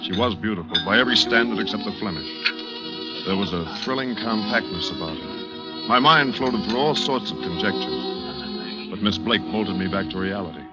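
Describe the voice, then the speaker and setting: A man acting an urbane, lovestruck hero of a vintage radio thriller. His low voice is wry and polished, telling his own tale in a dull old recording over prominent music. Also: lively intonation, normal speed, close to an everyday voice. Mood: wry, suave.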